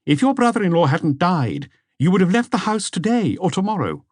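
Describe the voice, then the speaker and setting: A man's voice: high voice, very animated, brisk, no echo, studio quality, voice pushed up. A man voicing a formal, probing examining magistrate in an audiobook, insistent in his questions.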